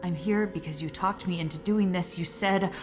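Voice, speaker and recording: A woman's voice: lowish voice, steady tone, normal speed, music in the background, close to an everyday voice. An actress playing a trapped, despairing woman scientist on a space mission, weary and bitter, in an audio drama. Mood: bitter, resigned. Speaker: actor in a musical audio drama.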